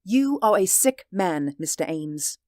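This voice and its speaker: An actress in a 1940s radio drama playing a stern, skeptical former schoolteacher who speaks in a scornful, prim way. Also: female voice, on the low side, very animated, a little slow, dry recording, clean studio sound.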